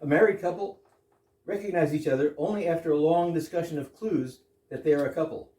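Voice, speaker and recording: A man's voice: fairly high pitch, a little fast, warm tone. A man giving a humorous prepared introduction to an audience, explaining things with dry wit. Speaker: guest introducer of the play. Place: indoor performance space with audience. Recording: echoey room, distant microphone.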